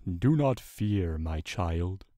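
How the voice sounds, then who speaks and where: A man voicing a gentle, regal, ancient stallion king in a dramatic story reading, speaking slowly, formally and reassuringly. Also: deep voice, expressive, no echo, clean studio sound, exaggerated voice. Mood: gentle, solemn. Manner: slow, deliberate, formal, reassuring. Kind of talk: dramatic fan fiction reading.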